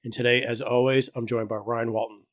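A man's voice: mid-range voice, flat delivery, fairly quick, no echo, clean studio sound. A man hosting a podcast in casual chat, easygoing and agreeable. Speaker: podcast host and producer.

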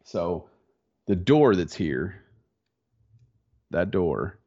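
A man's voice: low voice, sing-song, extremely slow, a little mellow. A man with a slightly congested voice chatting in a laid-back, slangy way as a podcast co-host. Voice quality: congested, stuffy nose. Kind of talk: casual chat. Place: small home office in a converted barn. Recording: dull, lo-fi sound.

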